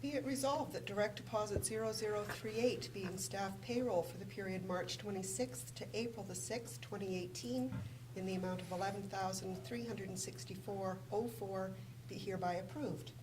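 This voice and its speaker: A woman administrator reading formal council resolutions and figures aloud in a measured way in a council chamber. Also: medium pitch. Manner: measured, reads figures carefully. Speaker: municipal administrator. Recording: distant mic with room noise.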